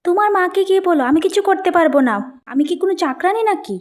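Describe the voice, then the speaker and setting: A woman's voice: very high voice, rapid-fire, voice pushed up. A woman voicing a haughty, work-shy young wife in a cartoon, complaining and snapping indignantly.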